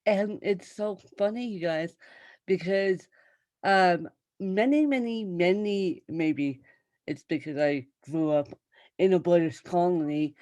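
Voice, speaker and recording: A woman hosts an interview over a video call, her voice low for a woman and her pace very slow. She chats loosely and turns to address viewers as "you guys." The sound is dry and close. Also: darkish tone, dry recording. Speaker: talk show host. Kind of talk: conversational interview.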